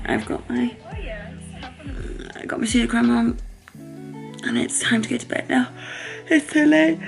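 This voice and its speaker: A woman in her twenties, a startup founder, chatting excitedly in a casual vlog with lots of filler words and a croaky voice. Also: medium pitch. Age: 20s. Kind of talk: casual vlog chat to camera.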